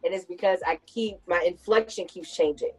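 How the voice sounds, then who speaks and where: A woman's voice: fairly low pitch, fairly quick, a little mellow, breathy edge, faint music behind, low-quality recording. A woman in her thirties hosting a podcast, talking things through in a reflective, conversational way. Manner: reflective, explains at length. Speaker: podcast host. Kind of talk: casual conversational chat.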